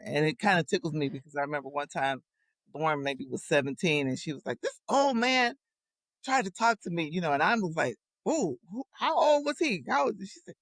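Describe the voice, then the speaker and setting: A woman's voice: sits low, no room sound. A woman in her fifties, a podcast guest, telling reflective and amused stories in casual conversation.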